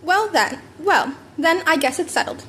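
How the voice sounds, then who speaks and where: A cheeky, stubborn schoolboy acted by a woman with a high, eager voice. He is playful and insistent, like a comic audiobook hero. There is clear background noise. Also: lively intonation, unhurried, fairly bright tone, full character voice. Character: bubbly, stubborn, cheeky teenage boy student. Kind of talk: amateur audiobook character reading. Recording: home recording with background noise.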